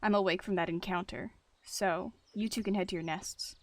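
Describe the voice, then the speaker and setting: A woman voicing an angry, scheming male warrior cat deputy, often in a hushed, urgent tone. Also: medium pitch, fairly even intonation, normal speed, lightly acted. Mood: tense.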